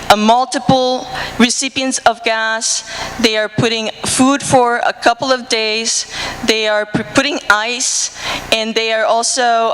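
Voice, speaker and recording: Dominican-accented woman telling a story on stage, bright high-ish voice, lots of uh's, big hall echo with a faint hum. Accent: Dominican Spanish, speaking English. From Dominican Republic, Cibao region. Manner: frequent "uh" fillers and restarts, earnest. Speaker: marine conservation researcher. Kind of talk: personal storytelling talk at a conference. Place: conference hall. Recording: hall reverb, faint room noise.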